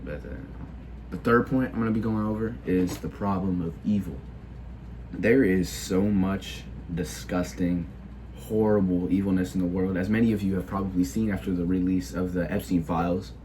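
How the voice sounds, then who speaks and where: Low-voiced teen boy, sick and halting. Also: fairly even intonation, dark tone, slight background noise, rough recording. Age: teens. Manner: hesitant, heavy with filler words and restarts. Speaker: video creator. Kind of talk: casual opinion monologue.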